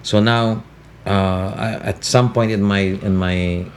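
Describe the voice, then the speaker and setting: Deep-voiced Filipino man, lively storyteller. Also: slow pace, a little hiss or hum. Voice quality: deep, worn voice. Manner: animated storyteller who mimics voices, mixing Tagalog and English. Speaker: radio voice talent and guest. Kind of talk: casual interview chat.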